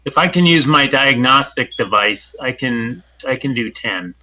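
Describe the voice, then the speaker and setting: A man's voice: medium pitch, lively intonation, unhurried, bright, forceful tone. A man playing a tabletop game, asking questions and restarting his phrases often. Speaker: player. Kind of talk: casual tabletop play.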